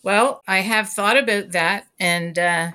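A woman writer chatting as an interview guest, halting and searching for words, often laughing. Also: mid-range voice, sharp tone, clear, resonant tone. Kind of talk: casual interview chat.

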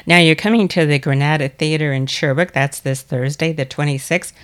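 A woman's voice: deep voice, normal speed. A woman radio host who introduces her guest from a script and then asks him questions. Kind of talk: interview hosting.

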